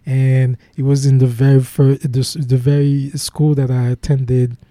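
A young man with a Haitian accent tells his story in an interview, earnest and halting, his sentences full of restarts and fillers. His voice is very dark and mellow, with a fairly flat melody. The sound is dry and close. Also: mid-range voice, dry recording. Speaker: guest, program founder.

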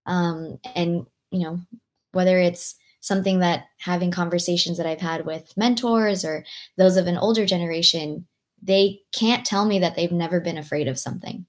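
Young woman, American accent, Filipino-language first tongue, lowish pitch, reflective podcast guest, conversational. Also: steady tone, moderate pace. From Long Beach, California, USA. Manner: reflective, peppered with "like" and "right?". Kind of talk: conversational interview answers.

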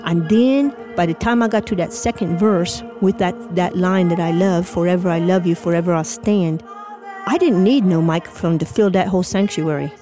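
A woman with a foreign-sounding accent tells a personal story in an emotional, halting manner. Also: lowish voice, mellow, faint music behind. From Indiana, USA. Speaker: storyteller, interviewee.

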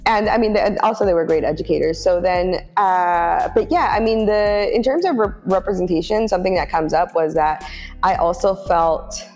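A woman's voice: fairly low pitch, normal speed, darkish tone, long reverb tail, faint music behind. A woman from Oakland, California, talking as a podcast guest in a reflective way, with many fillers and restarts and easy laughs. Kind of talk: casual podcast conversation.

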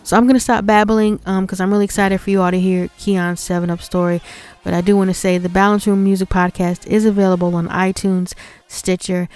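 A woman's voice: mid-range voice, flat delivery, fairly quick, a little mellow. A woman hosting a podcast, giving a conversational introduction to a guest segment. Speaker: podcast host.

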